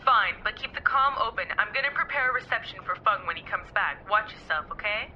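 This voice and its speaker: Over a dull, slightly noisy radio link comes an actress as a female lieutenant. She is calm, clipped and in command, at an ordinary pitch. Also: fairly quick. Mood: calm, concerned. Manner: clipped, authoritative. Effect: radio comm filter. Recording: dull telephone-like radio link, faint noise.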